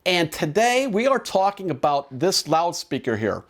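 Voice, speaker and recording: A man's voice: sits high, very animated, moderate pace, a bit bright. A man presenting drone equipment in a casual, conversational, explanatory product demo.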